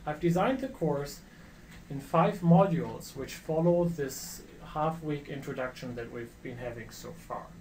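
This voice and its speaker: A man's voice: a little high, a little mellow, a little hiss or hum, poor audio. A middle-aged man from Germany with a German accent, a professor giving an online course lecture in an earnest, self-deprecating way with frequent fillers.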